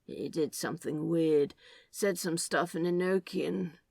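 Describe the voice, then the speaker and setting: A woman voicing a weary, wry male demon in serpent form for a storybook, speaking in a laconic, low-key drawl. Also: steady tone, unhurried, vocal fry, darkish tone, no echo.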